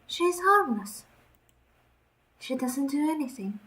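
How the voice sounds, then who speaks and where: A woman's voice: sits high, expressive, slow pace, a bit bright, a little hiss or hum, voice pushed up, heavily acted. A woman voicing a guarded, defiant girl from a gothic horror game in an emphatic, insistent way. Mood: strained insistence.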